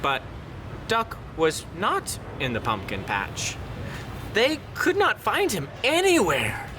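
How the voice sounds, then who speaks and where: Slow, very expressive man reading a storybook. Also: high voice, background noise. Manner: dramatic, drawn-out words. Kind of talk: theatrical children's picture book reading.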